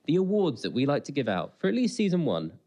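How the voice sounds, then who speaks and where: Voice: mid-range voice, huge pitch swings, fast, warm tone. A podcast co-host with a cold, chatting casually and playfully about a TV episode. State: has a cold.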